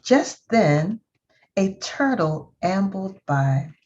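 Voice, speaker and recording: A woman reading a children's story aloud expressively, with drawn-out emphasis. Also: medium pitch, big pitch swings, a little mellow. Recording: slightly dull, lo-fi sound.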